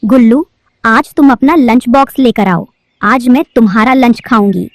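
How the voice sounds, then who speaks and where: A woman's voice: a little high, normal speed, dry recording, close to an everyday voice. Woman doing a bossy greedy crow teacher. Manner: bossy, scolding. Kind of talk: cartoon voice acting.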